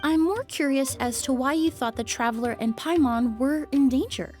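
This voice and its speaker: A woman voicing a composed young perfumer in a video game, speaking in a measured, explanatory way. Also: high voice, darkish tone, close to an everyday voice. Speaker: perfumer with medical knowledge. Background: faint game music.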